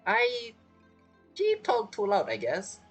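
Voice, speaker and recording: A woman's voice: medium pitch, wildly swooping pitch, slow pace, over music. A woman streamer giving casual reaction commentary on a game, chatty, laughing often and speaking broken English.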